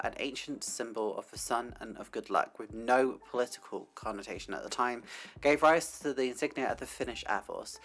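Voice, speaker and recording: A woman's voice: very deep voice, a bit bright. A woman hosting a casual scale-model vlog, chatty and self-deprecating with lots of fillers. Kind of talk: casual hobby vlog with read history segment.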